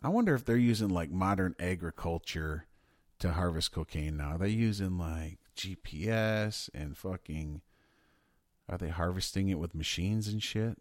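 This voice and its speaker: A man co-hosting a podcast in casual chat, excitable and swearing as he riffs on questions. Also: sits low, normal speed, no room sound, clean studio sound. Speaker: podcast co-host.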